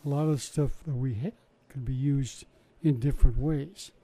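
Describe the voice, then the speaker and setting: Older guy, super mellow dark voice, rambly wry storytelling with lots of restarts. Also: mid-range voice, steady tone, normal speed. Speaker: interviewee recalling his science show work. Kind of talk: casual oral-history storytelling.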